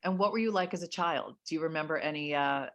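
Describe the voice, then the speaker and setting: A middle-aged woman with a notably low voice interviews an author over a video call. She talks in a measured way, full of fillers and restarts. The sound comes from a home room through a call mic. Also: lo-fi sound.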